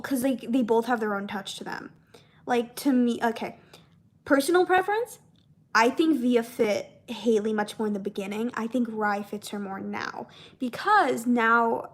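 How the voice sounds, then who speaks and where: A young woman chatting casually and unscripted, in a halting, rambling way with trailing thoughts and repeated words. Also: a little high. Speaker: voice actor and comic dub creator chatting with viewers.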